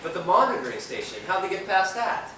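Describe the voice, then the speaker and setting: A weary, brooding musician played by a male stage actor, guarded and earnest in his delivery. He sounds distant in a very echoey hall, with clear background noise. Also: high voice, big pitch swings, brisk. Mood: concerned. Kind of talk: stage play acting. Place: theater stage, far microphone.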